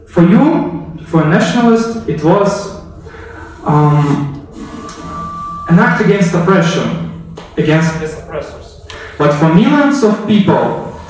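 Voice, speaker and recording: A man with a fairly high voice speaks publicly in a storytelling style. He addresses listeners directly, drawing them into the scene with rhetorical questions. The sound is heavily reverberant and picked up some way from the microphone. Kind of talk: storytelling speech with dramatic second-person narration. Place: echoey indoor room, recorded from a distance. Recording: very echoey room, distant microphone.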